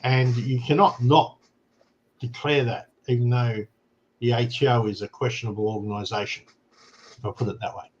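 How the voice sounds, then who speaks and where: A man's voice: medium pitch, steady tone, moderate pace, highs cut off. An Australian man hosting a casual talk show, explaining things plainly and often checking in with "right?". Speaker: show host. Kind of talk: casual chat.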